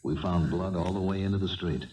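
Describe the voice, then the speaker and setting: An actor playing a reassuring police lieutenant in a 1970s radio drama, measured and matter-of-fact. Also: male voice, low-pitched, mellow, echoey room, far from the mic, natural voice, rough recording.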